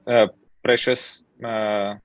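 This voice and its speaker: A man hosting an online project meeting, talking casually with many fillers and pauses, on a video call. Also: fairly low pitch, level tone, no echo. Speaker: meeting host, project maintainer. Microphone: computer mic on video call.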